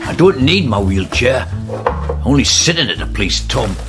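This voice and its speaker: A man's voice: medium pitch, big pitch swings, normal speed, over music, close to an everyday voice. An actor playing a frail, confused old man who rambles and repeats himself. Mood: gruff. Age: older. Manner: wandering, repetitive "aye". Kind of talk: radio drama acting.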